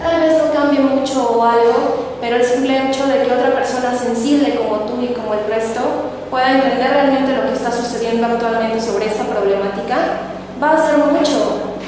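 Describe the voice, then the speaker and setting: A woman with a fairly high voice speaks sincerely from far off in a large, echoing hall. The long reverb trails after her words. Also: normal speed, noisy background. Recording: distant in echoey hall.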